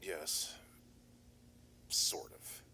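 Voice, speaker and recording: A man playing a calm, methodical male private detective in an analog horror audio drama, speaking in a measured, formal, reassuring way. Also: heavy background noise.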